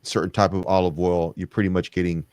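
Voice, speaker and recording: Very deep-voiced man hosting a podcast. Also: flat delivery, fast, darkish tone, smooth, dry recording. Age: middle-aged. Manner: rambling, many fillers and restarts, agreeable. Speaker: podcast host. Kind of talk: conversational interview.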